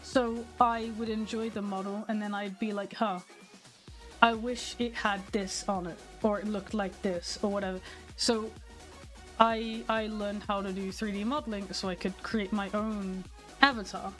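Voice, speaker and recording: A woman's voice: medium pitch, little pitch movement, unhurried, faint music behind. Slightly nasal young woman streamer. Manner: chatty, candid, talks to her viewers. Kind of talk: casual stream chat.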